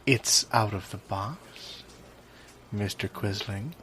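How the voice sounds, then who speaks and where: Improv comedy: man as condescending store manager, low, measured, hesitant, placating. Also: a bit bright. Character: patronizing, placating male store manager, decorous. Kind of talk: improvised audio comedy sketch. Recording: clear background ambience noise.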